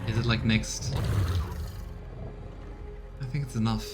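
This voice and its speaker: A man hosting a game let's play, thinking aloud in casual commentary full of fillers and quick reactions. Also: on the low side, steady tone. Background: loud game music.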